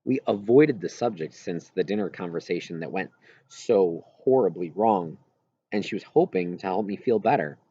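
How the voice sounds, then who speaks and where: A man with a husky voice reads a first-person novel aloud expressively, with halting pauses mid-phrase. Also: low voice, animated, mellow, no room sound, muffled recording. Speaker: audiobook reader.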